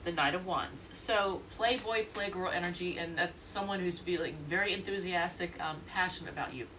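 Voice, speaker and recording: A woman's voice: fairly low pitch, moderate pace, a bit bright, far from the mic, slight background noise, low-quality recording. A congested woman with a stuffy nose giving a casual, rambling tarot reading, thinking aloud and restarting often. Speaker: tarot reader.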